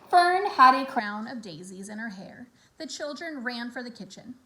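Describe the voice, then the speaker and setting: Bright, animated woman reading a storybook. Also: a little high, normal speed, low-quality recording. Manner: animated, acts out character dialogue. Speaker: teacher reading a children's novel aloud. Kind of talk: expressive scripted storybook narration.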